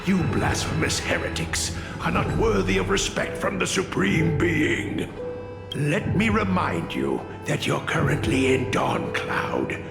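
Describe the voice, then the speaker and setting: A man's voice: a little high, big pitch swings, slow, gravelly, heavily acted. A man playing a haughty, zealous priest in a fantasy game, speaking formally with pompous disdain for heretics. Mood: scornful. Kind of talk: fantasy video game acting. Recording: echoey hall with music behind.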